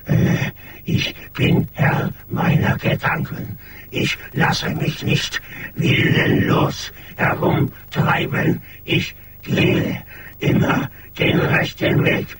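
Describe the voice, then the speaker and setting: An actor in a radio play voicing a proud, irritable man turning into a beast, hoarse and rattling, with haughty, drawn-out delivery. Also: very noisy. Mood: haughty, indignant. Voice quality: hoarse, breathy, rattling rasp. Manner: haughty, defensive, drawn-out snapping. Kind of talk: 1980s German radio play acting.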